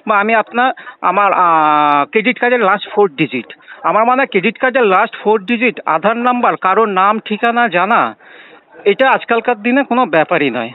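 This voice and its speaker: Insistent high-voiced Bengali man on tinny phone. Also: animated, brisk. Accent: West Bengal Bengali. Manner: insistent, questioning, repeats himself. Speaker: bank customer on a debt recovery call. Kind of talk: argumentative phone conversation. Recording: tinny, narrow-band phone line recording.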